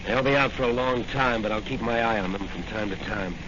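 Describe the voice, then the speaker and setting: A man's voice: fairly low pitch, brisk, close to an everyday voice. A man playing a brave, tough young masked crimefighter in a 1940s radio serial, speaking in a clipped, commanding way. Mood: firm, assured. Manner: clipped, commanding, heroic tough-guy delivery. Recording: noisy, muffled 1940s radio recording.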